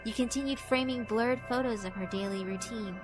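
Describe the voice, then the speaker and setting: A woman's voice: on the high side, a little fast, music in the background, lightly acted. A woman voicing a sinister, cruelly amused female school spirit in a horror visual novel, theatrical and mock-polite. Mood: cold, mocking. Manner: theatrical, mock-polite, taunting rhetorical questions.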